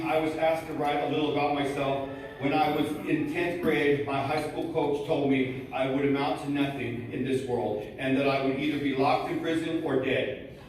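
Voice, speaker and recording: Nervous man speaking in an echoey hall. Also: fairly high pitch. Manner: hesitant with many fillers, reading aloud. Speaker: student speaker at a college gala. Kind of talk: nervous prepared speech. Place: large event hall. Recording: echoey hall from far off, faint noise.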